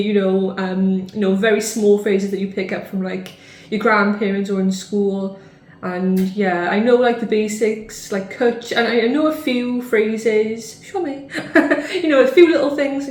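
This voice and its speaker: A woman's voice: medium pitch, roomy sound. A woman in her thirties with a Welsh accent, chatting casually to camera at home in a rambling way with lots of fillers. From Wales. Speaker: vlogger.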